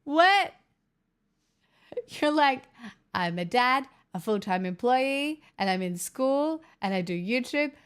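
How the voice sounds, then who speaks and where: A woman's voice: sits high, animated, slow, a bit bright, smooth. Chatty, swearing woman streamer. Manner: chatty, sweary, joking, answers chat messages. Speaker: livestream host reading chat. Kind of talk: casual livestream chat.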